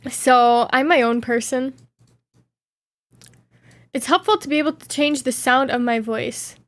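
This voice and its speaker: A woman's voice: sits high, a little slow, no echo. A woman streamer chatting casually, reading viewer questions aloud and reacting playfully with frequent giggles. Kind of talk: casual live Q&A chat.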